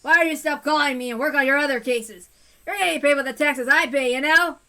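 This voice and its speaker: A woman voicing a bullying, abusive middle-aged man who snarls and shouts. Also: a little high, steady tone, a little fast, close to an everyday voice. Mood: angry shouting. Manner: belligerent, shouting, sneering.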